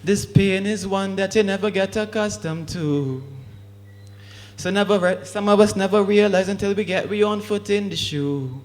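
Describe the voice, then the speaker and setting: Brief: male spoken word poet, slightly high voice, measured rhyming delivery. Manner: rhyming, steady declamatory delivery. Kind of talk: rhythmic spoken word performance.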